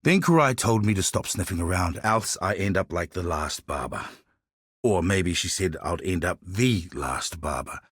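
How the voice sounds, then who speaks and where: An actor playing a sly, world-weary male barber and informant in a video game, husky and wry in his phrasing. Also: low-pitched, lively intonation, moderate pace, fairly bright tone, no echo, clean studio sound, full character voice. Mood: gossipy, wry. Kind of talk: scripted video game character dialogue.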